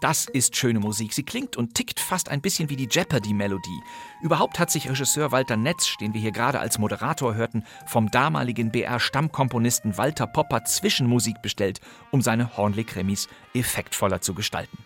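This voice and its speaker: A man's voice: mid-range voice, animated, fairly quick, soft background music. A man hosting a podcast, delivering scripted narration with conversational asides and dry humour. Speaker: podcast host. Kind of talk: scripted narration about old radio crime plays.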